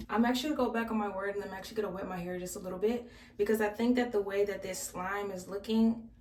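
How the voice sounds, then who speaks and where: A woman's voice: mid-range voice, darkish tone, a little hiss or hum. A young woman talking to camera as she does her hair, casual and chatty. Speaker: beauty vlogger. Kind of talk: casual hair tutorial talk. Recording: roomy, from a distance.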